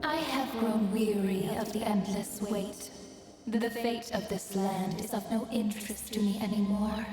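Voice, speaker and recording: A woman's voice: mid-range voice, steady tone, unhurried. A woman voicing an imperious, ancient death deity in a video game, stately and formal, with heavy reverb. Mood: cold, solemn. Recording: very echoey, distant, under music.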